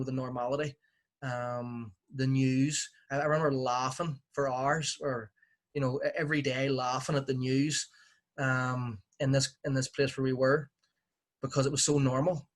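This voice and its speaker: Belfast guy in his 40s, guest on a podcast, pretty flat even voice, dry wry way of telling it. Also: medium pitch. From Northern Ireland. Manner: reflective, wry humor. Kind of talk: relaxed interview storytelling.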